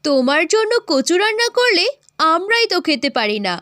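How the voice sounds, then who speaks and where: A woman playing a young village woman in a cartoon moral story, chiding her elders in an expressive way. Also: very high voice, moderate pace, no room sound, clean studio sound, heavily acted.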